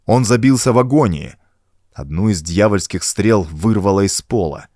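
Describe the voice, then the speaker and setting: A man's voice: fairly low pitch, expressive, moderate pace, a little mellow, dry recording, clean studio sound. A man narrating a horror adventure audiobook in a suspenseful, theatrical manner. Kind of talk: dramatic scripted narration of a horror adventure story.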